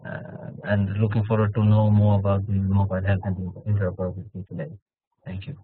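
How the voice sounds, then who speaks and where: Bangladeshi-accented man, hesitant intro with fillers, lowish voice, phone-thin line. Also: completely flat delivery, quick pace, breathy edge, dry recording. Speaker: junior advisor. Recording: tinny call audio, dry.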